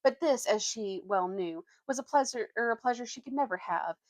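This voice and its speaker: A woman's voice: on the high side, moderate pace, no room sound. A young woman with an informal, youthful way of talking, reading a storybook aloud and chatting as a vlogger. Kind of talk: storybook narration and casual chat.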